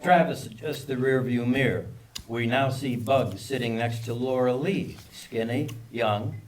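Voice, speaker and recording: A man reads screenplay directions as the narrator of a table read. His pitch is average, and the delivery is scripted narration. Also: measured pace, slight background noise, rough recording.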